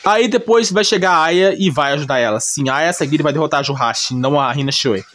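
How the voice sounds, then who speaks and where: A man's voice: a little high, fast talker, a bit bright. A man with a Brazilian accent giving casual, unscripted story commentary in a colloquial, repetitive way, often adding little check-in tags. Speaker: video creator narrating an anime recap.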